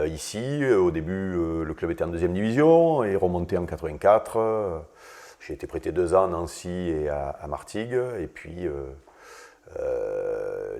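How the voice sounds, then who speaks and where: A man's voice: deep voice, a little mellow. A man, chatting casually in an interview, rambling with lots of hesitant fillers and dry self-mocking humor. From Vaucluse, southern France. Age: 60s. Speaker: guest, former footballer.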